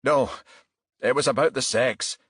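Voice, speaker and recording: A man voicing a bitter, evasive murder suspect in an audiobook, halting and defensive as he justifies himself. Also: medium pitch, a bit bright, slightly rough, no echo, studio quality, pitched above the performer's own voice. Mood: bitter, self-justifying.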